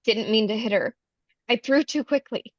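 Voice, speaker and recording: Woman as high-voiced anxious young pageant contestant, dull sound. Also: normal speed, no echo, natural voice. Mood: uneasy. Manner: protesting, distressed. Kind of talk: screenplay table read.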